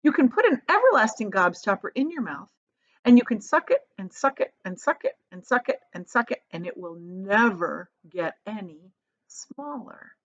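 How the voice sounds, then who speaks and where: A woman playing an eccentric storybook inventor, a man bursting with pride and given to bossy orders. The delivery is emphatic and exclamatory, with big swings in pitch. The audio is slightly dull and lo-fi. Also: sing-song, moderate pace, a bit bright. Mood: proud, excited. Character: excited, proud male chocolate inventor, bossy and eccentric.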